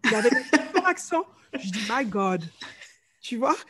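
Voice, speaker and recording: Lively woman with a light Martinican accent. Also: on the high side, measured pace, breathy edge. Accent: light Martinican French. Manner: animated storyteller, mimics voices and sounds. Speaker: vocal coach guest. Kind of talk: casual interview chat.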